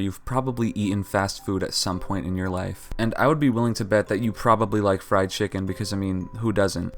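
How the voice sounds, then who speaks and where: Earnest young man with a lowish voice. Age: young adult. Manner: earnest, pleading. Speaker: YouTuber. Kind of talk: motivational talk over gameplay.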